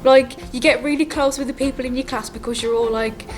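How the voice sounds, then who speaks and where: A woman's voice: high voice, steady tone, fairly quick. A teenage female drama student chatting informally in an interview, peppering her sentences with "like". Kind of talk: casual interview chat. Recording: clear background noise.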